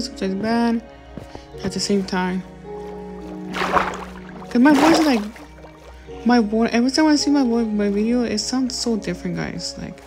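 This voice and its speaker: Casual woman gamer narrating her own play, chatty and apologetic, loud music bed behind her. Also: medium pitch. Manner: apologetic, rambling, many fillers. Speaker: gaming YouTuber. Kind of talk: casual gameplay commentary.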